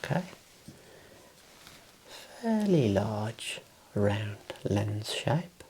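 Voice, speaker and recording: A man in his thirties whispering gently and soothingly in an ASMR roleplay as an optician, close to the mic in a small room. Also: on the low side, very animated, extremely slow, a little hiss or hum. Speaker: ASMR performer playing an optician.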